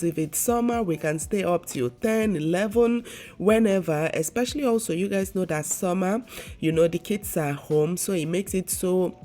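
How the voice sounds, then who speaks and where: A woman's voice: fairly low pitch, mellow. A woman lifestyle vlogger talking casually to her viewers. She has a congested, stuffy nose from a severe cold and rambles chattily with lots of fillers. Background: faint background music.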